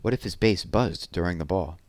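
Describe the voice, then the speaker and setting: A man reading children's poems and picture books aloud in an expressive, deliberate way, with conversational asides. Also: low voice, dry recording.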